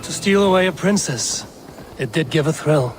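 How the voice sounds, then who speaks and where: A stern, judging Viking raider from a video game, voiced by a man. The voice is a little higher than average and carries measured authority, with music clearly behind it. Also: lively intonation, close to an everyday voice. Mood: dry.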